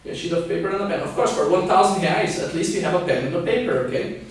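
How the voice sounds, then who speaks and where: A young man with a high voice presents a lesson as a teacher. He explains things in an instructional way, often adding a checking okay. The sound is heavily reverberant, picked up at a distance from the microphone. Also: animated, fast. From born in Scotland, raised partly in Brazil. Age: 20s. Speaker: English teacher and pilot giving a lesson. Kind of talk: instructional video lesson to camera. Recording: very echoey room, distant microphone.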